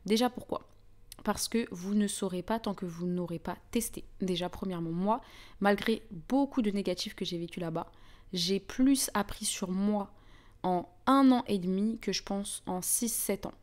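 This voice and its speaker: A young woman with a Parisian French accent hosts a casual live chat. She talks in an informal, slangy, chatty way at a middling pitch, addressing her viewers directly. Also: moderate pace, a little mellow. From France (Paris). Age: young adult. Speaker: livestream host.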